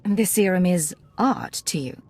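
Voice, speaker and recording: A woman's voice: lowish voice, animated, normal speed, a little mellow, breathy edge, dry recording. A woman voicing a cool, self-assured secret agent in a video game, terse and controlled. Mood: cool, determined.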